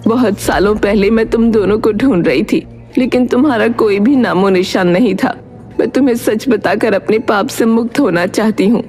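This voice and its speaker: A woman's voice: medium pitch, moderate pace, darkish tone, lightly acted. A woman voicing an elderly, guilt-ridden nurse in an earnest, confessional story drama, with music behind. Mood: grave.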